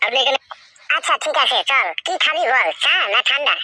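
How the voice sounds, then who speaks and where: A man voicing a chatty cartoon character through a pitch-raising filter, speaking casually. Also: fairly quick, pushed and bright, no room sound, exaggerated voice. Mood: friendly, concerned. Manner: casual, conversational.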